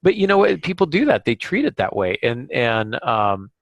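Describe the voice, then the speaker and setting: A man co-hosting a writers' podcast, talking reflectively and casually, haltingly thinking aloud. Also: mid-range voice, very animated, vocal fry, no room sound, muffled recording.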